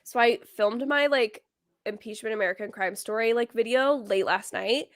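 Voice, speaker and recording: A young woman hosting a casual livestream chat, talking fast with many fillers, her voice a little hoarse and congested from a cold. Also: a little high. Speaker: livestream host.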